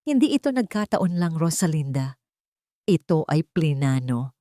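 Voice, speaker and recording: A woman narrator plays a serious male investigator in a romance audio drama. The delivery is grave, deliberate and direct, in a low female register with a dry sound. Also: sing-song, warm tone, no echo.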